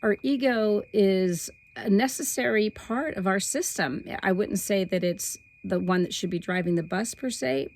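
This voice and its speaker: A middle-aged woman with a slightly hoarse voice, hosting a weekly spiritual forecast in a soft, meandering way that stretches key words. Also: mid-range voice. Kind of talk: casual spiritual talk to camera.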